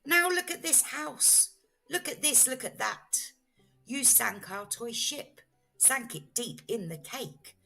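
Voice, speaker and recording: An indignant little storybook fish, male and forever fretting as a woman reader puts him on. He scolds in a raised, fussy voice with slow, emphatic protests. Faint music plays underneath. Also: on the high side, expressive, creaky, sharp tone, pitched above the performer's own voice, exaggerated voice. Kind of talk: children's storybook read-aloud.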